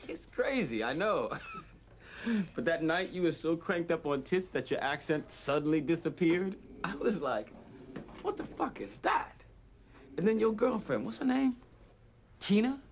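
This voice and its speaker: A man's voice: fairly high pitch, big pitch swings, a little slow. Guy doing a sly mocking jailbird, rambles and swears, noisy background. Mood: taunting. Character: streetwise male prison inmate, sly and taunting. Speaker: inmate.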